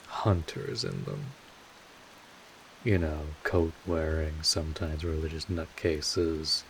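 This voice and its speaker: Man playing a low, slow-talking wry vampire mentor. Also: a little hiss or hum, full character voice, poor audio. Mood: reassuring, casual. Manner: casual, sardonic, unhurried with drawn-out pauses. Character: wry, laid-back male vampire mentor, world-weary but protective. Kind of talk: intimate roleplay audio drama monologue.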